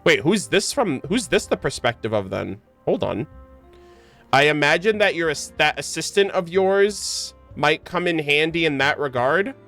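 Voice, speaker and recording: Guy doing a casual game stream, slangy, kinda high voice, game music behind. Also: animated, moderate pace. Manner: slangy, speculating out loud. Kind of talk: casual playthrough commentary, reading game text aloud.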